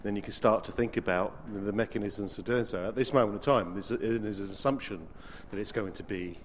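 A man raising a question from the floor at a technical meeting, halting and restarting his phrases. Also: on the low side, in a large hall, slight background noise. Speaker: meeting participant asking a question. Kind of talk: open-floor discussion.

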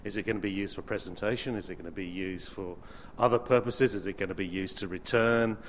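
A man raising a question from the floor at a technical meeting, halting and restarting his phrases. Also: low-pitched, steady tone, fairly bright tone, long reverb tail, a little hiss or hum. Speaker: meeting participant asking a question. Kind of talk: open-floor discussion.

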